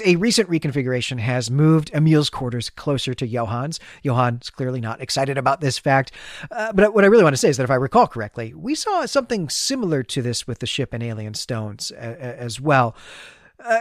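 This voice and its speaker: A man's voice: mid-range voice, dry recording, clean studio sound. A man co-hosting a podcast, giving a conversational, explanatory recap of a story. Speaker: podcast co-host. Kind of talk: conversational literary recap and discussion.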